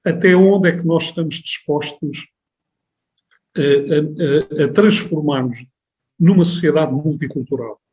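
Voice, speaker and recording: Portuguese guy from the alentejo, alentejo accent, rambling radio guest, keeps restarting, voice a bit high. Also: measured pace, darkish tone. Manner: discursive, many restarts and rhetorical tags. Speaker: regional tourism board president, guest. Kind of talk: radio interview. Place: radio studio.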